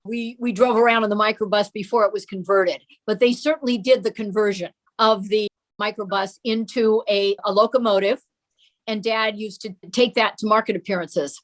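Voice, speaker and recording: A woman's voice: mid-range voice, fairly even intonation, normal speed, poor audio. An older woman, an interview guest, casually telling family memories.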